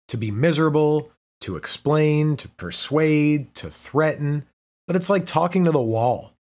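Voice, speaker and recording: Man, fairly high voice, scripted narration, steady reading with pauses. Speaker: reader.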